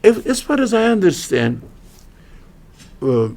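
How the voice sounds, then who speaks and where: A man with a Turkish accent, a native Turkish speaker, giving an informal religious studies lecture in halting English, restarting phrases and making wry jokes at his own expense. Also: high-pitched, big pitch swings, a little mellow. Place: lecture room at a university religious center.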